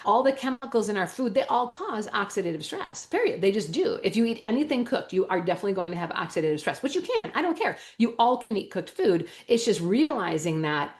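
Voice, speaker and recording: A woman's voice: mid-range voice, sing-song, a little fast, hardly any pauses. Enthusiastic woman with a Wisconsin accent. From Wisconsin, United States. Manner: enthusiastic, emphatic, uses everyday analogies. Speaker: natural medicine school owner and teacher, interview guest. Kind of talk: animated explanatory interview talk.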